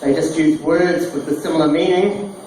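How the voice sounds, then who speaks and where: Deadpan kiwi comic in an echoey bar. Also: male voice, on the high side, moderate pace. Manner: deadpan pun delivery. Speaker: stand-up comedian. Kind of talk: stand-up one-liners. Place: small bar stage, roomy and echoey. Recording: echoey distant bar recording, noisy. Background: small crowd laughing, bar noise.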